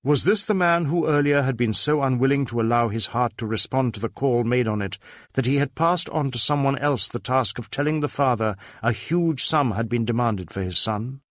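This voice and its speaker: A man's voice: medium pitch, normal speed, no echo, clean studio sound. A man reading a literary crime story as a scripted narration. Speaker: audiobook narrator.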